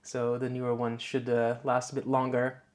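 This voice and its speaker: A man's voice: medium pitch, moderate pace. A man from the Netherlands with a light Dutch accent, casually vlogging to camera with lots of "uh"s, recorded on a clip-on mic in his home office. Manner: relaxed, many filler "uh"s, rambling explanations. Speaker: YouTuber. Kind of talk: casual vlog talk to camera. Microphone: wireless clip-on mic.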